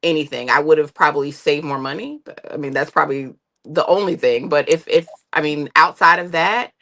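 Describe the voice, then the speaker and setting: Low-pitched woman chatting candidly. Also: a little fast, a bit bright. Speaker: panelist. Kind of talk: casual, candid panel chat.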